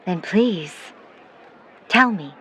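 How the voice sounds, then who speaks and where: A woman's voice: lowish voice, animated, a bit bright, highs cut off, full character voice. An actress playing a doting, protective middle-aged mother, formal and wordy, in a 1950s-set audio drama. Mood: wary, stern. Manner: formal, genteel, wordy, measured. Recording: noticeable background noise, ambience.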